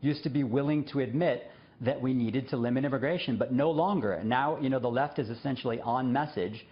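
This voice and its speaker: A man speaks as a guest on a news program, earnest and full of fillers and brief laughs. His voice sits at a middle pitch. The broadcast audio sounds slightly dull. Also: fairly quick. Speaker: guest, journalist and former diplomat. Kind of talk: remote interview.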